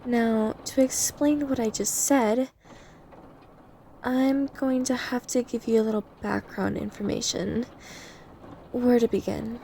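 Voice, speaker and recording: A woman playing an obsessive female vampire lab technician in an ASMR roleplay, coy, softly teasing and eerily polite as she explains herself to the listener. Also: on the high side, steady tone, slow pace, gravelly, pauses often, a little hiss or hum. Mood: chatty, polite.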